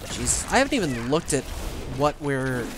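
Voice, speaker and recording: A co-player chatting casually during a game stream, wry and quick to laugh. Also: medium pitch, very animated, background noise. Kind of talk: casual gaming chat.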